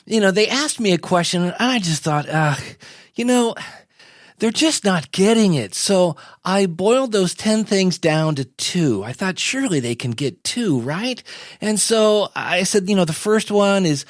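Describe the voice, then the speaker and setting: An easygoing, modern-day messiah played by a man chats as a comedy podcast guest. His voice is notably high for a male, with a folksy, hesitant, conversational delivery like a small-time marketer. The sound is very dry. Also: expressive, measured pace, dry recording. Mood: casual, mildly exasperated. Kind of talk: casual comedic interview chat.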